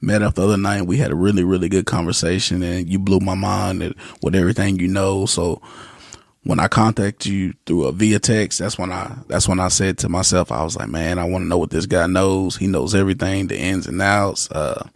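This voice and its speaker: Gravelly-voiced young man, easygoing. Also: low-pitched, fairly even intonation, a little fast, no room sound, clean studio sound. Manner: easygoing, many fillers and restarts. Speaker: podcast guest. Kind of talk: casual interview talk.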